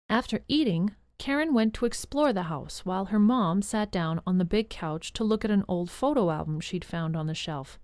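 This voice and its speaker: A woman's voice: medium pitch, a little mellow, dry recording. A woman narrator reading scripted storybook narration for an audio drama. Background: music behind the voice.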